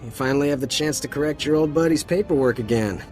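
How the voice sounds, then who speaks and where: A man's voice: on the high side, fast, a little mellow, lightly acted. An actor playing a cocky young male ensign who jokes sarcastically and stammers when scolded, in a game cutscene. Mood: wry. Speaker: rescued junior officer. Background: faint music.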